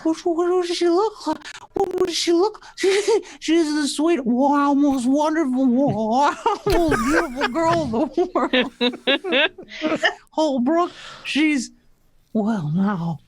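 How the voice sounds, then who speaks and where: Woman playing an exasperated, lovelorn man, fairly high voice. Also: lively intonation, a little hiss or hum. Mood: desperate, smitten. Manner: drops drawn-out wows into sentences, insistent. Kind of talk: comic radio play reading.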